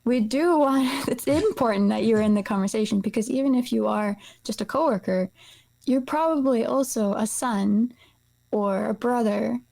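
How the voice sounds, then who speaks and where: Creaky-voiced woman from Iceland, mellow tone. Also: on the high side, normal speed, rough recording. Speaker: guest, nutrition practitioner. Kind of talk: casual explanatory interview talk.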